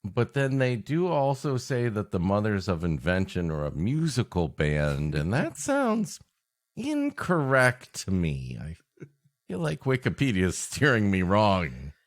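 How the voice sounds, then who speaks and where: A man's voice: on the low side, huge pitch swings, a little slow. A man co-hosting a comedy podcast, improvising dry, rambling mock-earnest riffs. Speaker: podcast co-host. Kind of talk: improvised comic banter.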